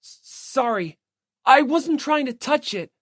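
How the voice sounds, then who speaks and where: A man voicing a timid, apologetic high school boy in an audiobook, hesitant and stammering. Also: lively intonation, takes it slowly, a bit bright, dry recording, studio quality, full character voice. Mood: panicked. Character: timid, apologetic, easily flustered male high school student. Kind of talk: audiobook character voice.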